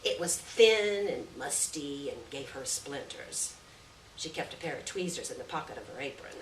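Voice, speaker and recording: A woman reading a literary short story aloud at a live event, with measured, deliberate phrasing and stressed words. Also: a bass voice, lively intonation. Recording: distant roomy live recording, faint noise.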